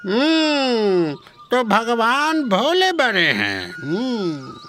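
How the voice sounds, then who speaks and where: A man playing a stubborn village drunkard in a comic cartoon story, with slurred, drawn-out, insistently questioning speech. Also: extremely high pitch, wildly swooping pitch, bright, forceful tone, soft background music, exaggerated voice. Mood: probing, stubborn.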